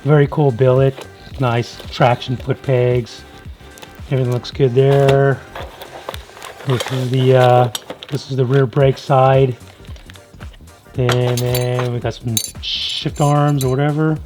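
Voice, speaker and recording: A middle-aged American man with a slightly hoarse voice, hosting a how-to video in a casual, laid-back, colloquial way. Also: mid-range voice, flat delivery, slow, pauses often. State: slightly hoarse from being sick. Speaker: host of a motorcycle build series. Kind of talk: casual how-to narration to viewers. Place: home garage workshop. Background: music.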